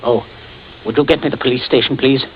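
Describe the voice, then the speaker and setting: An actor playing a genteel, pompous middle-aged patriarch in a 1940s radio drama, stammering when flustered. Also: male voice, medium pitch, fairly even intonation, brisk, lo-fi sound, close to an everyday voice. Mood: nervous, formal. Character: pompous British family patriarch, smoothly covering up a murder. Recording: hissy 1940s radio recording.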